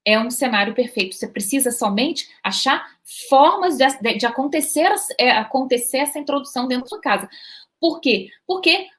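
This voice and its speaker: A woman's voice: high voice, normal speed. A Brazilian woman talking casually to parents about children learning English, checking in often with short questions. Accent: Brazilian Portuguese. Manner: lively, repetitive, asks "tá?" and "né?" to check in. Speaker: bilingual parenting educator. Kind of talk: informal video talk to parents.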